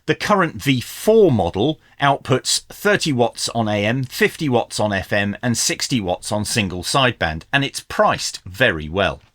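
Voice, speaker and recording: A man's voice: medium pitch, big pitch swings, normal speed, hardly any pauses, fairly bright tone, no echo. A man presenting a product review in a casual, conversational style.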